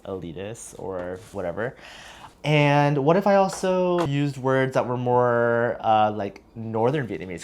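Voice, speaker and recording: A man from the United States with an American accent, talking casually and reflectively to viewers, explaining with hedges and emphasis on key words. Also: on the low side. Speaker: vlogger, heritage language learner.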